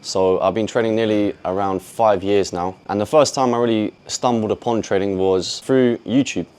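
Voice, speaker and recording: A man in his twenties, from Poland and raised in the UK, answering interview questions in a relaxed, conversational way. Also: lowish voice, moderate pace, clean tone. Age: 20s. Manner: relaxed, conversational, addresses viewers directly. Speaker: podcast guest, futures trader.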